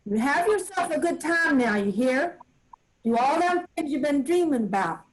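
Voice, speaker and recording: Woman playing a high-voiced folksy old farm grandmother. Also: expressive, a little hiss or hum, poor audio. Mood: folksy, instructing. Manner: plain rural dialect, brisk kindly commands. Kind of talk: staged play reading.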